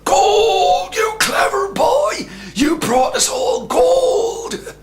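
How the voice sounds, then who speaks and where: A man voicing a boy's poor mother who shrieks high and loud with joy in a storytelling. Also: expressive, normal speed, a little hiss or hum. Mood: overjoyed. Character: poor excited mother of a boy.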